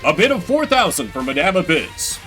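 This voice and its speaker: Formal, showy game auctioneer, played by a man, raised bright voice, crisp announcing. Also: on the high side, very animated, fairly quick, soft background music. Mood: brisk, rising excitement.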